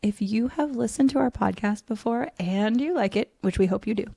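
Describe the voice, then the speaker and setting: Brief: enthusiastic woman co-host, scratchy from allergies, mellow and dry. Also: fairly high pitch, fairly quick, gravelly, no room sound. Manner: bubbly, enthusiastic. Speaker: podcast co-host. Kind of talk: casual podcast chat.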